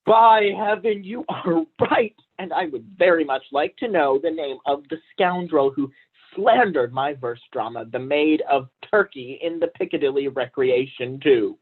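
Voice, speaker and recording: A man's voice: fairly high pitch, measured pace, husky, phone-quality sound. A young man, a student actor, playing a pompous, vain, blustering poet and husband in a staged reading of a period comedy, speaking with stiff formality. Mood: aggrieved, vain. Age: young adult.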